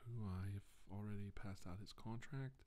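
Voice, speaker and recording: A middle-aged man playing a shaken, solemn doctor giving a halting, subdued deposition in an ASMR roleplay. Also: lowish voice, mellow, natural voice. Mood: grave, deliberate. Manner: halting, hesitant, subdued, deliberate. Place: indoors, seated at a desk.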